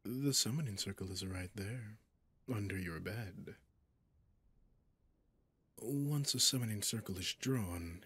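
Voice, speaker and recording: A man playing a possessive, mocking male demon in an ASMR roleplay, seductive and sinister, with a breathy, often whispering, teasing drawl. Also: deep voice, fairly bright tone, heavily acted.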